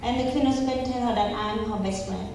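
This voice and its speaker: A woman's voice: a little high, fairly even intonation, a little fast, noisy background. A woman from Cambodia, whose first language is Pnong, speaking emphatic, simple broken English with a Cambodian accent as a guest answering questions. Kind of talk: interview answers to an audience. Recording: echoey hall, from far off.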